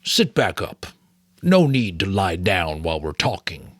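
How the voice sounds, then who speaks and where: A man voicing a weary, wise old male mentor from an audiobook novel, gentle and measured. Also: low-pitched, very animated, no room sound, exaggerated voice. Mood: patient.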